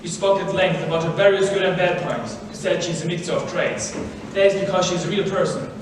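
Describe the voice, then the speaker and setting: Earnest scholar played by a teenage boy, higher-voiced, didactic and deliberate, stage drama heard from afar in a reverberant theater. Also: steady tone, natural voice. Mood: earnest, instructive. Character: earnest, candid scholarly man, literary mentor. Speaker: student stage actor. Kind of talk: high school stage drama. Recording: echoey theater, distant mic, noisy.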